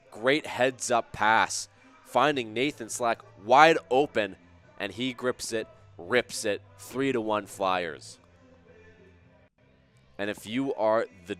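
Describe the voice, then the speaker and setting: Slightly hoarse hockey play-by-play man. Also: mid-range voice. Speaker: play-by-play commentator. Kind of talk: live hockey play-by-play commentary.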